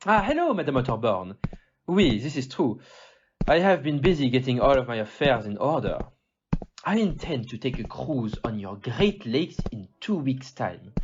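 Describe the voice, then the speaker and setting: A man playing a polite, observant gentleman detective in an audio play, with a French accent and a formal, theatrical manner. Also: medium pitch, sing-song, moderate pace, background noise, close to an everyday voice. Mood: courteous, observant. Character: polite, observant foreign gentleman detective with little grey cells. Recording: muffled audio, loud music behind.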